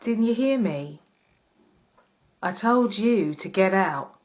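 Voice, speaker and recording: A woman's voice: mid-range voice, lively intonation, takes it slowly, dull audio. A woman playing a cold, taunting controller.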